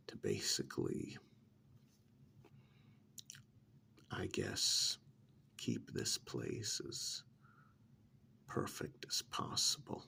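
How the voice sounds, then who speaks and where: A man playing a smug, pampered captive in a soft-spoken ASMR role-play, drawling sardonically with long pauses and feigned innocence. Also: a bass voice, a little hiss or hum, exaggerated voice. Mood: sardonic, smug. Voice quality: soft, half-whispered, gravelly.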